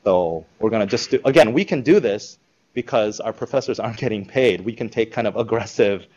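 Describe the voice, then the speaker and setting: A man giving an informal talk to a live audience, conversational and animated, acting out quoted reactions. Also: lowish voice, brisk, dull audio. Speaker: speaker presenting a school project.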